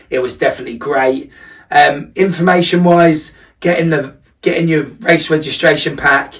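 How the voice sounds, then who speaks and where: A man's voice: medium pitch, a bit bright, distant mic. A man giving a casual vlog recap as a running coach, talking in a reflective, emphatic and conversational way.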